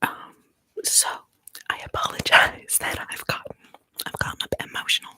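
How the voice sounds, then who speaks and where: Tearful whispering woman. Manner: soft, slow, emotional, pauses often. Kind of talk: whispered ASMR chat to viewers.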